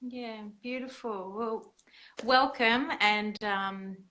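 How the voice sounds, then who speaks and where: A woman hosting a casual conversation, soft-spoken and hesitant, with many fillers. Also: fairly high pitch, fairly even intonation, slow pace. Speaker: host.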